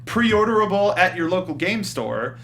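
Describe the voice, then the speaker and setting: A man hosting a casual panel chat about games and film, in an animated, jokey way. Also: high voice, normal speed, slight background noise. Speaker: show host.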